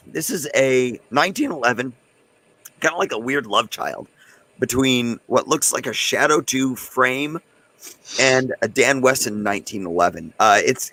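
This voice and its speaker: Man, hoarse fading voice, livestream host, casual and chatty, mid-range pitch. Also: pushed and bright. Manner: loose and chatty, talking straight to viewers in the chat. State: hoarse, losing his voice. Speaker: host of a live gun channel stream.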